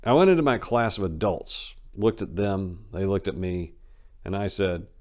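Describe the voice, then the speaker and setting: A man's voice: low voice, lively intonation, moderate pace, darkish tone. A man reading a memoir aloud as host, with a theatrical, emphatic delivery. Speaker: channel host and reader.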